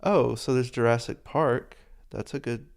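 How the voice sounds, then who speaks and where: A man's voice: medium pitch, a little slow, smooth. A young man co-hosting a podcast in casual chat, sounding nasal from a cold, with a teasing, playful way of talking. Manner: teasing, playful, drifts off into asides. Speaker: podcast co-host.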